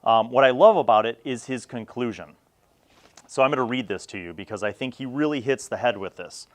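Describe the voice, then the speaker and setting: A man's voice: mid-range voice, a little fast. A middle-aged man hosting a casual review, talking in an enthusiastic, emphatic and conversational way. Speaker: host of a tabletop gaming review series.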